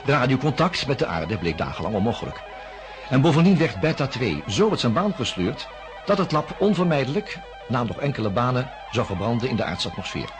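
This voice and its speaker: An actor playing a probing male investigator who narrates a radio drama with measured, formal phrasing. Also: mid-range voice, very animated, music in the background, natural voice. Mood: grave. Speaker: narrator and interviewer.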